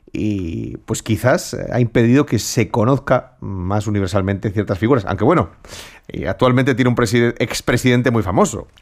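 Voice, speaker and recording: Playful male radio host. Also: mid-range voice. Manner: playful, reads listener messages. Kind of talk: radio talk show.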